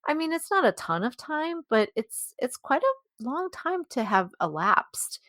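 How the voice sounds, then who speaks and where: A woman's voice: high-pitched, very animated, a little slow, fairly bright tone, dry recording, clean studio sound. A woman co-hosting a podcast, discussing a story in a casual, agreeable, conversational way. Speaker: podcast co-host.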